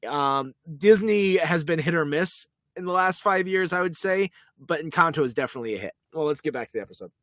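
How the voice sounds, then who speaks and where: A man co-hosting a casual podcast about a TV episode, animated and slipping into quick character voices as he retells scenes. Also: fairly high pitch, no echo, clean studio sound. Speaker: podcast co-host.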